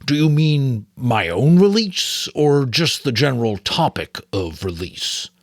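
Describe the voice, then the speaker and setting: A man voicing a weary, wise old male mentor from an audiobook novel, gentle and measured. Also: mid-range voice, sing-song, no echo, studio quality, voice pushed up. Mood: patient.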